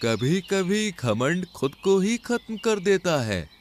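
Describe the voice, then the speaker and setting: A man's voice: high-pitched, sing-song, slow, slight background noise. A man narrating a scripted children's animal story.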